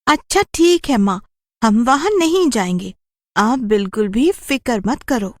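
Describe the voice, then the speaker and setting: A woman's voice: fairly high pitch, expressive, a little slow, no echo, clean studio sound. A woman voicing a playful, adventurous young village woman with a bubbly, teasing manner. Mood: reassuring.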